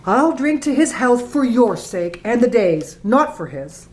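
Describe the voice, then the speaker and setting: A woman playing a sharp-tongued, scornful Victorian wife in a radio play, with emphatic delivery. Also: fairly high pitch, big pitch swings, unhurried. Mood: grudging, sarcastic. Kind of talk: theatrical radio play acting.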